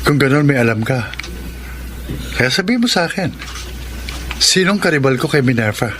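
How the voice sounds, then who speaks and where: Aktor bilang seloso at mausisang tiyuhin. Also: male voice, mid-range voice, lively intonation, moderate pace, a bit bright, close to an everyday voice. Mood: suspicious. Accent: Filipino. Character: jealous, probing uncle in love with heroine. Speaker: radio drama actor. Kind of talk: Filipino radio romance drama acting. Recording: faint noise on radio drama track.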